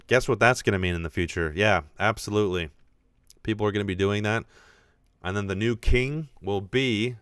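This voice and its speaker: A man with a deep, low voice talks casually on his own podcast. He gets animated and thinks aloud, often restarting his sentences. The sound is dry, with no room echo. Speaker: podcast host. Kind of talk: casual live podcast talk.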